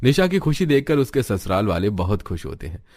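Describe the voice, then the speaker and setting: Low-voiced male story narrator. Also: very animated, brisk, darkish tone. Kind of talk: scripted cartoon story narration.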